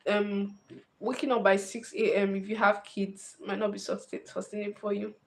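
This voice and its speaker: A woman's voice: medium pitch, little pitch movement, normal speed, creaky, slight background noise. Woman in her twenties, casual vlog talk, repeats phrases as she thinks. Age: 20s. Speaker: vlogger.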